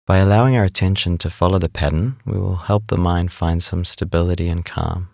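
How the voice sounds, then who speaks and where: A man leading a guided meditation as an instructor, speaking in a calm, unhurried, instructive way. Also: deep voice, gravelly, no room sound, clean studio sound.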